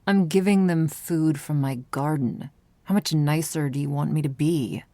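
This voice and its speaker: A woman playing an ageless vampire gardener in a slow, intimate ASMR roleplay, measured and solemn with a deadpan edge and a soft, sometimes breathy voice. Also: deep voice, close to an everyday voice. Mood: dry, teasing. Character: ageless vampire woman gardener, sardonic yet tender, protective.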